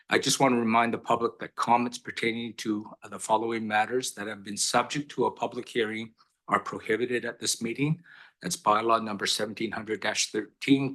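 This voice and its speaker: A man serving as mayor, chairing a council meeting with brisk, formal procedure and a little light humor. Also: fairly low pitch, fairly bright tone. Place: council chamber.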